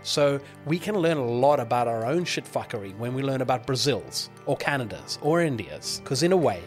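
A man hosting a podcast in a friendly, wry, measured way. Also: mid-range voice, expressive, soft background music. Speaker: podcast host. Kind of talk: interview and scripted sign-off.

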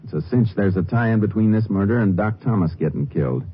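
Calm, authoritative lawman, played by a male actor, very low voice, laconic, muffled old radio western. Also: a little fast, a little hiss or hum, natural voice. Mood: measured. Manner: laconic, matter-of-fact, authoritative. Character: calm, methodical Texas Ranger lawman, man. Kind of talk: 1950s radio western acting and narration. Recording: muffled old radio recording, faint music.

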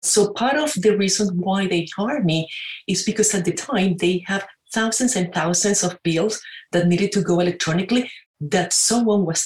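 A woman tells her story as an interview guest, chatty and full of fillers and tag questions. Her voice sits on the low side and rings in an echoey room. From Venezuela. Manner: chatty, many fillers and "right?" tags. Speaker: guest entrepreneur.

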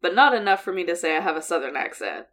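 A woman with a lower voice and a General American accent chats as a podcast co-host. She talks in an easy, friendly way and laughs often. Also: very animated, fast talker, a bit bright. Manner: laughs easily, chatty. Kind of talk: casual podcast chat.

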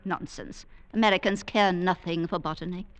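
An actress playing a bossy, belittling middle-aged wife in an old radio drama, snapping orders and scolding imperiously. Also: female voice, lowish voice, steady tone, normal speed, close to an everyday voice. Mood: scolding, dismissive. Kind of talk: 1940s radio drama acting. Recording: vintage 1940s radio broadcast.

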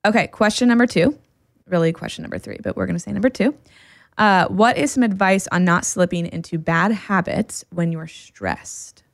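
A woman's voice: medium pitch, moderate pace, creaky, no echo, clean studio sound. A woman in her early thirties hosting a podcast, explaining her views earnestly and encouragingly. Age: 30s. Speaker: podcast host. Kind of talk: casual podcast conversation.

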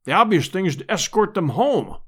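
A man's voice: high voice, very animated, brisk, fairly bright tone, breathy edge, full character voice. A man voicing a pompous, easily offended professor in an adventure audiobook, with orotund, formal diction. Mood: indignant, haughty. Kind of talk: dramatised audiobook character reading.

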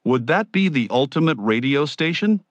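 A man's voice: on the high side, big pitch swings, moderate pace, dry recording, lo-fi sound. A man delivering a scripted promotional narration in a grandiose, formal salesman's manner. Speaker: promoter of his own audio programs.

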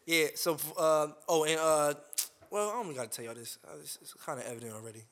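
A man's voice: on the high side, quick pace, fairly bright tone. A young man co-hosting a casual chat, slangy, swearing, and full of "uh" and "um". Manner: slangy, heavy fillers and swearing. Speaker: podcast co-host.

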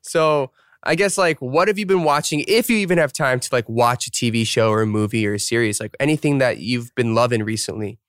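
A young male podcast host asks questions in a casual, upbeat way, peppering his speech with fillers. His voice is smooth and resonant at a middle pitch. The sound is close and dry. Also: fairly quick, fairly bright tone, no room sound. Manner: upbeat, filler-heavy, self-deprecating. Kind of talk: casual interview chat.

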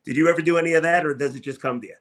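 Effusive American sports radio host, higher-pitched. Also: male voice, racing. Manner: effusive, emphatic, stop-start questioning. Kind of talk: sports talk radio interview.

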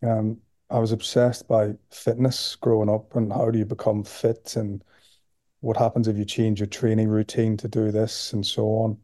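Northern Irish man, 40s, podcast guest; very dark, even voice, dry close sound. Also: fairly low pitch, moderate pace, no echo. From Belfast, Northern Ireland. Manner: relaxed, steady, with frequent "um" and repeated words. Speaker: guest, sports nutrition professor. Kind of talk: podcast interview.

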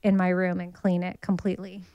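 A woman's voice: on the low side, level tone, a little fast, warm tone, dry recording, highs cut off. A woman in her forties co-hosting a podcast, chatting casually with a friend, reflective and laughing often. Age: 40s. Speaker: podcast co-host.

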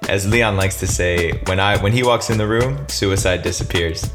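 A man hosting a podcast, speaking earnestly and enthusiastically, full of warm praise for his guest. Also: sits low, normal speed, music in the background. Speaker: podcast host. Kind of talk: podcast intro and interview.

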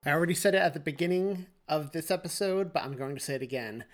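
A man's voice: fairly high pitch, quick pace, over music. A man hosting a podcast, speaking to listeners in an effusive, appreciative way. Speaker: podcast host and author. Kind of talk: scripted podcast outro addressed to listeners.

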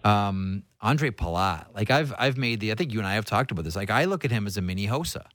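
A man's voice: lowish voice, lively intonation, fast talker, a bit bright, no room sound. A man hosting a sports talk show, giving conversational analysis emphatically and repeating phrases for stress.

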